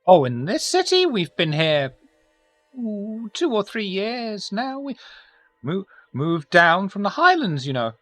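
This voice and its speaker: A woman's voice: medium pitch, very animated, takes it slowly, faint music behind. A woman voicing an old, genteel vampire grandmother who gushes and talks sweetly down to people. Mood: fond.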